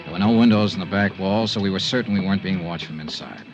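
A tough American private investigator from vintage radio drama, with a lowish voice. He speaks in clipped, sardonic, matter-of-fact phrases, as if reading out a case file. The recording is an old 1950s broadcast. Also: fast talker, dull audio, music in the background. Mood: terse narration. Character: tough, wry male freelance insurance investigator and narrator. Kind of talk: 1950s radio detective acting.

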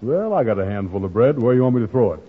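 A man playing a gullible, soft-hearted, grumbling fellow in a 1940s radio comedy, with hesitant, folksy, ungrammatical speech. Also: mid-range voice, very animated, quick pace, husky. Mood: eager, cheerful. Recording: muffled, noisy old radio broadcast.

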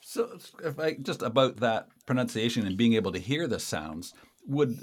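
A man's voice: medium pitch, expressive, normal speed. A man co-hosting an interview, asking a tentative question with restarts. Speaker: co-host.

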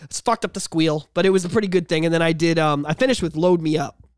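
A young man co-hosting a casual podcast, telling animated stories, swearing freely and humming bits of songs. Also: a little high, fast, smooth, dry recording, studio quality. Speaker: podcast co-host and musician.

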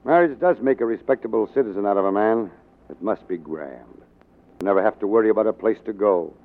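An actor playing a tyrannical, scheming newspaper managing editor in a sarcastic, bossy 1940s radio drama style. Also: male voice, fairly low pitch, lightly acted. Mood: mock sympathy. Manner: sarcastic, bossy, fast-switching from barking to wheedling. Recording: muffled narrow-band 1940s radio recording.

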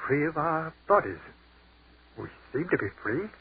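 An actor playing an earnest army captain who has died, speaking urgently in 1940s radio-drama style. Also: male voice, fairly high pitch, very animated, unhurried, breathy edge, heavily acted. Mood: wondering. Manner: urgent and stammering, then rapturous. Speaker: lead actor. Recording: tinny old radio broadcast with hiss.

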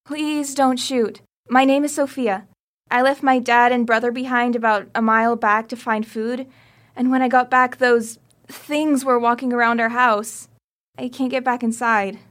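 A woman's voice: fairly high pitch, fairly even intonation, moderate pace, a bit bright, natural voice. A woman playing an earnest teenage girl who pleads for help in an audio drama. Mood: pleading.

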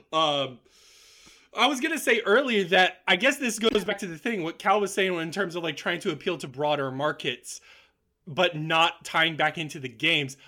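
A man with a bright, forceful, fairly high voice shares opinions as a podcast co-host. He is casual and emphatic, leaning on slang and swearing freely. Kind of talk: casual opinionated film discussion.

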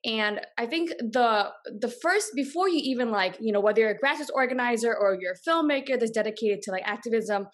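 A woman's voice: fairly high pitch, lively intonation, brisk, few pauses. A woman, talking casually and passionately as an interview guest, full of "like" fillers. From Boston, US. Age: 30s. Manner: chatty, passionate, many "like" fillers. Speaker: guest, coffee company founder.

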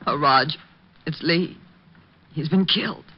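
A woman's voice: low-pitched, slow pace, slightly rough, exaggerated voice. An actress playing an anxious, grieving young woman in a 1950s radio drama. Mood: upset. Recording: muffled vintage radio recording.

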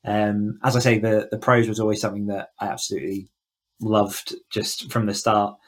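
A man's voice: lowish voice, level tone, normal speed. A man speaking casually and reflectively as an interview guest, feeling out his phrasing in a thoughtful way. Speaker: guest author on a podcast.

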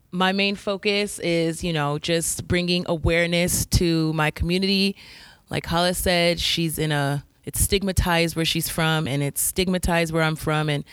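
Low, smooth American woman's voice. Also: no room sound. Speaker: podcast guest, social worker. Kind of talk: casual self-introduction.

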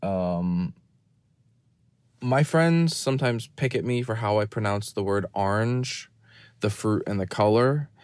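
A man giving a rambling, deadpan and self-mocking running commentary from a parked car, recorded on his phone. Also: on the low side, a little slow, clear, resonant tone, no room sound. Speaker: podcast host. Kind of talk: rambling off-the-cuff monologue. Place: parked car in a store parking lot.

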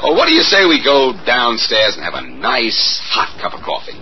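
An actor playing a breezy, wisecracking American amateur detective with a flippant, bantering manner in an old-time radio drama. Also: male voice, medium pitch, huge pitch swings, moderate pace. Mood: breezy, evasive. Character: breezy, wisecracking amateur sleuth, cool under suspicion. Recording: muffled, noisy vintage radio recording.

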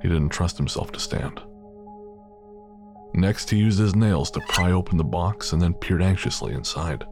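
Deep dude narrating a drama, slow and somber, little music in back. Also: male voice, creaky, darkish tone. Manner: measured, somber storytelling. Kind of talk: scripted narration.

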